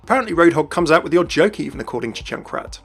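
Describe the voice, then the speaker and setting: A man's voice: fairly high pitch, lively intonation, very fast, gravelly. A man narrating scripted game lore commentary as a video voice-over.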